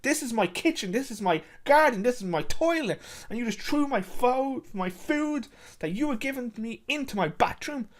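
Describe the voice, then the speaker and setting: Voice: medium pitch, expressive, moderate pace, pitched above the performer's own voice, lightly acted. A storyteller voicing an indignant duck who scolds and complains in a short story. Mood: indignant, scolding. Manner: scolding, outraged. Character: indignant screaming duck in a pond.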